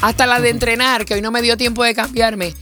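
Exclamatory woman over clear music. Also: a little high, steady tone, fairly quick, pushed and bright. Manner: exclamatory, opinionated, teasing. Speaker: radio show host. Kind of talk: casual chat. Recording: music playing behind the voice.

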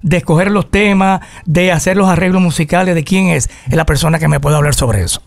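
A man hosting a lively radio interview in the studio, full of quick interjections. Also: a little high, creaky. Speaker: radio host.

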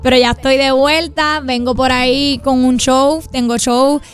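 A woman's voice: sits high, fairly even intonation, measured pace, faint music behind. A young woman with a Puerto Rican accent, talking in an informal, flowing way as a singer-songwriter in a radio interview. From Puerto Rico. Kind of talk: conversational promotional radio interview.

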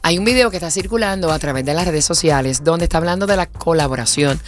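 A woman hosting a radio show in casual, teasing chat, quick to exclaim. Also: deep voice, slight background noise. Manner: chatty and teasing, exclaims often. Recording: music bed behind the voice.

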